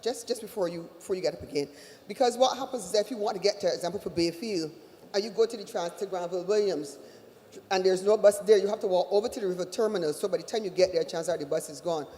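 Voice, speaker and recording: Fast-talking Barbadian woman in an echoing hall. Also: mid-range voice, warm tone. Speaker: official presenting at a public consultation. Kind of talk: explanatory spoken answer. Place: large indoor meeting hall. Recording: reverberant hall public-address sound.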